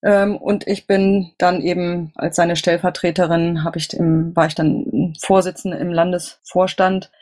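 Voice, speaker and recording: A middle-aged German woman answering interview questions conversationally, in a halting, rambling way with self-corrections. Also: fairly low pitch, level tone, a little mellow. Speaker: interviewee, political candidate.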